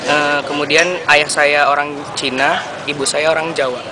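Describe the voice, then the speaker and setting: A young man from Jakarta, a student interviewee, answering in a measured way with fillers. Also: on the high side, flat delivery, crowd noise. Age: young adult.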